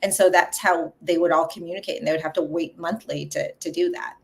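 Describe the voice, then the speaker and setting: Soft-spoken woman, halting and thoughtful. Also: lowish voice, fairly even intonation, gravelly. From Vietnam. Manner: halting, many fillers and restarts. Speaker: guest, coffee company founder. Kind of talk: casual interview conversation.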